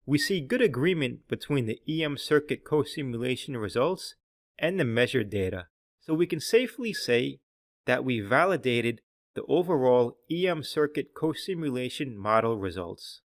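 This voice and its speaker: A man presenting a scripted software tutorial as an applications engineer, speaking in a measured, instructional way. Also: medium pitch, lively intonation.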